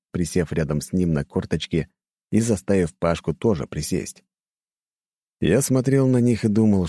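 A man's voice: low voice, mellow, no echo, clean studio sound. A man narrating first-person fiction as an audiobook reader, in a scripted storytelling style.

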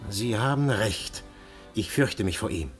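Animated, earnest detective hero. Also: male voice, on the low side, unhurried, faint music behind. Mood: grave, resolute. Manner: dramatic, emphatic, formally courteous. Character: earnest, defiant undercover police detective, courteous and brave. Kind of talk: German radio play acting.

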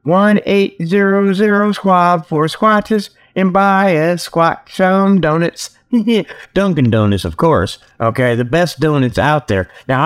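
A man hosting a comedic show in a folksy, joking, easily sidetracked monologue, talking into a tablet while sitting outdoors. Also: sits high. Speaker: host of a comedic report show. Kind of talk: rambling casual humorous monologue. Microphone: tablet's built-in mic, resting on leg.

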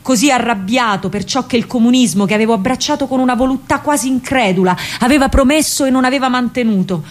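A woman playing a disillusioned, fatherly older Roman man in a radio monologue, speaking gravely and deliberately in a hushed way with a Roman accent. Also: a little high, lightly acted. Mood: bitter, grave.

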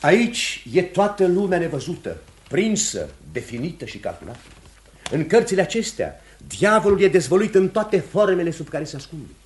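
An actor playing a commanding pastor who hunts the devil, speaking in an emphatic, oratorical radio theatre manner. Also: male voice, high voice, very animated. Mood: fervent, declaiming. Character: commanding, certain male pastor hunting witchcraft and the devil.

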